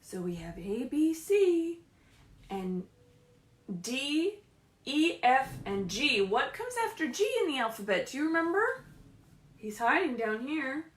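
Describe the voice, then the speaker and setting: A woman leading a story time for young children as a librarian, animated and encouraging, often putting questions to the kids, speaking from a room at home. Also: sits high, slow. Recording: roomy, echoey home livestream.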